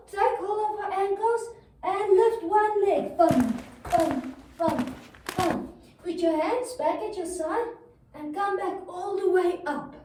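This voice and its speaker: A woman's voice: extremely high pitch, dark tone, slight background noise. A woman telling a children's story while guiding young listeners through yoga movements with simple, encouraging step-by-step instructions. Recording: very echoey room, distant microphone.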